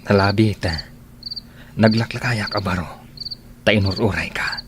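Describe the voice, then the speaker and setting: An earnest, meek bachelor in middle age, played by a man in a radio drama. His voice sits fairly low, with faint noise behind it. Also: normal speed, natural voice.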